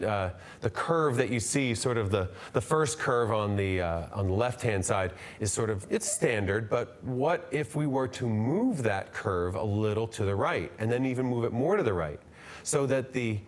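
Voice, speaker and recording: A man in his fifties on a video call, an upbeat guest explaining ideas and repeating words as he goes. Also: lowish voice. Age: 50s. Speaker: guest physician. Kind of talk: explaining to an interviewer.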